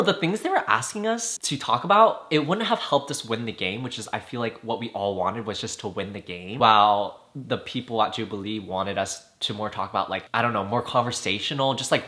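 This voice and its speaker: A chatty, animated man gives casual reaction commentary. His voice is bright and forceful. He talks easily and breaks into laughs often. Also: fairly low pitch. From United States. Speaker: YouTuber and musician reacting to a clip of himself.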